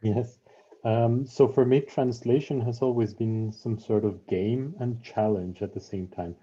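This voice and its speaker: A man, a translator speaking on an online panel in a thoughtful, measured way, heard through muffled video call audio. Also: mid-range voice, steady tone, dark tone, breathy edge. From Mexico. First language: Spanish.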